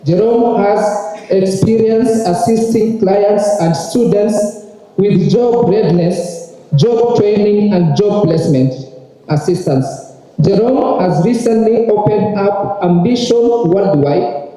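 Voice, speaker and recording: Male emcee, high and very mellow voice, measured formal introductions, distant mic in a cavernous hall. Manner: slow, formal, polite requests to the audience. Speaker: master of ceremonies. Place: large ceremony hall.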